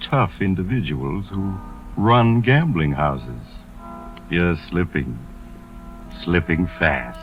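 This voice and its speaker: An actor playing a sinister, suave storyteller host, narrating 1950s radio suspense in an ominous, insinuating manner. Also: male voice, deep voice. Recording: dull vintage radio recording, music behind.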